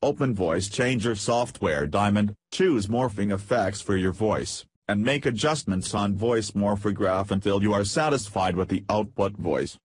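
A man narrating a scripted software tutorial in a flat, read-aloud instructional way. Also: lowish voice, a little slow, few pauses, slightly rough, no room sound.

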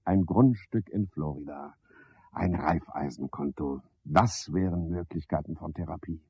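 An actor playing a garrulous, self-pitying and sanctimonious porter, rambling theatrically on the telephone in a 1970s German radio play. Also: male voice, a bass voice, unhurried, husky, no room sound, heavily acted. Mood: melancholy. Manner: theatrical, rambling one-sided telephone talk with sudden swoops. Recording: dry, slightly dull 1970s radio recording.

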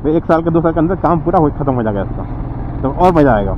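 A man, talking to viewers in a relaxed, chatty way as he rides his motorbike on a road trip. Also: fairly high pitch, fast, muffled recording. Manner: chatty, addresses viewers as bhaiya. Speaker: motovlogger. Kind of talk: casual road-trip commentary. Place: outdoors on a highway, riding a motorbike. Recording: noisy road and traffic outdoors.

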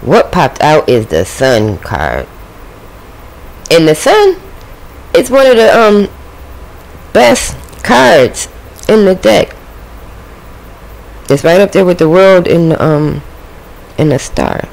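A woman's voice: fairly low pitch, big pitch swings, slow, pauses often, darkish tone, rough recording. A woman doing a casual tarot reading at a home table, chatting to the spirit and her viewers. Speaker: tarot reader.

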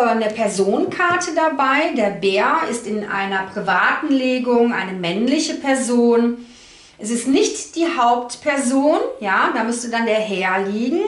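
A woman giving an informal card reading to camera, explaining in a halting way as she searches for words. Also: on the high side, fairly bright tone. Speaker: tarot card reader. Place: indoor room at a table. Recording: roomy, echoey indoor sound.

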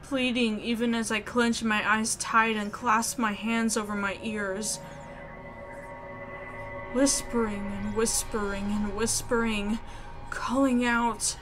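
A woman reading scripted story narration aloud in an expressive way. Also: a little high, slow. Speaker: video game player reading narration aloud. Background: music.

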